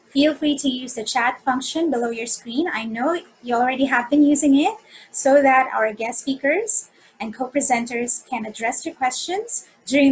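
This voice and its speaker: A woman's voice: high voice, husky, poor audio. A woman moderating an online masterclass over a video call, reading polite, scripted announcements. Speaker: webinar moderator. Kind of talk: formal event hosting.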